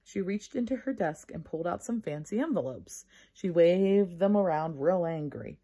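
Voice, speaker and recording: A woman's voice: fairly low pitch, lively intonation, moderate pace, mellow. A woman reading a children's storybook aloud expressively and explaining things to young listeners. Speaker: storybook reader.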